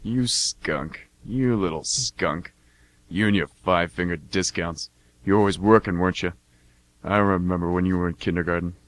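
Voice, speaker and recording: A man's voice: sits low, moderate pace, close to an everyday voice. A man playing a laid-back mercenary older brother in an amateur radio drama, with a casual, folksy delivery. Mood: fondly teasing.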